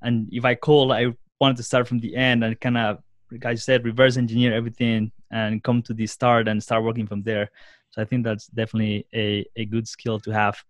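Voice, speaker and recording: A man's voice: medium pitch, level tone, brisk, no echo. A young man hosting a casual interview, with hesitant, rambling phrasing. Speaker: podcast host.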